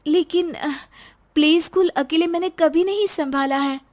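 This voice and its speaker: Lady doing a determined young wife for a story, raised pitch, pleading but polite. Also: moderate pace, darkish tone. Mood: earnest. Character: earnest, determined young wife and working mother.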